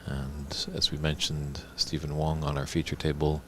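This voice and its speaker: A man's voice: a bass voice, monotone, moderate pace, fairly bright tone, slight background noise. A man commentating a snooker tournament in a chatty, informative way.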